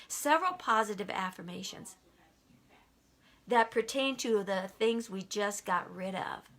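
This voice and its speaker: A woman's voice: mid-range voice, a little slow, fairly bright tone. A woman giving a motivational talk, emphatic and repeating key lines for effect. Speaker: motivational speaker.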